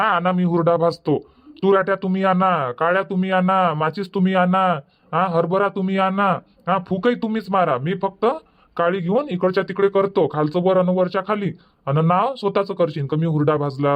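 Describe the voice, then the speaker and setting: A man's voice: high voice, a little fast, close to an everyday voice. A man voicing a grumbling, lecturing old village husband in a cartoon comedy, with a Varhadi Marathi accent. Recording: dull lo-fi audio.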